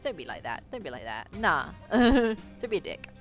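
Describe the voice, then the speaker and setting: A woman streamer with a low voice reading a visual novel aloud, dropping in casual, sweary asides. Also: moderate pace, faint music behind. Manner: sweary, excitable reactions in asides.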